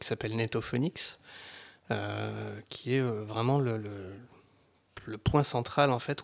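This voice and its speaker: A man speaking casually as a guest on a live panel, hesitant and searching for his words. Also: mid-range voice. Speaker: guest, amateur audio fiction creator.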